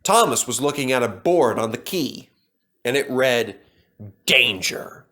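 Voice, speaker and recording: A man's voice: mid-range voice, lively intonation, moderate pace, fairly bright tone. A man narrating a children's storybook as a scripted read-aloud.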